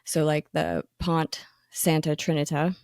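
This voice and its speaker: A woman's voice: deep voice, little pitch movement, measured pace, a little mellow, no echo, clean studio sound. A woman co-hosting a podcast in a casual, enthusiastic chat, with frequent ums and restarts. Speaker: magazine editor, co-host.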